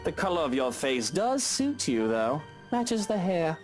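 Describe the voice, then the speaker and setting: A man's voice: fairly high pitch, sing-song, measured pace, soft background music, voice pushed up. A man voicing a smug, flirtatious, teasing man in a visual novel, drawling and mocking. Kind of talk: character voice read from a visual novel.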